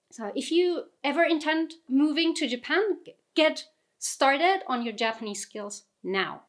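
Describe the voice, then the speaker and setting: Chatty woman, slightly high voice. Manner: chatty, self-deprecating, laughs often. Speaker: vlogger. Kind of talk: casual reflective vlog.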